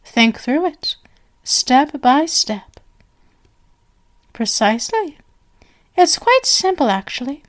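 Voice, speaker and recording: A woman's voice: high voice, big pitch swings, slow pace, pauses often, exaggerated voice. A woman playing a genial but sinister stage magician, possessive and doting, with flowery, coaxing endearments in an ASMR roleplay. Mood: playful, teasing.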